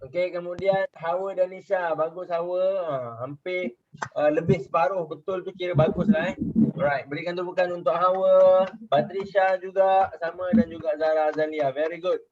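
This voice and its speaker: A young man with a Malaysian Malay accent leads a children's class over a video call, his voice sitting a little higher than most men's. He talks patiently, repeats his questions and checks often that the pupils follow. The audio comes through dull and muffled. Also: fairly even intonation, moderate pace. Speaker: religious teacher. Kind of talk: online class teaching children.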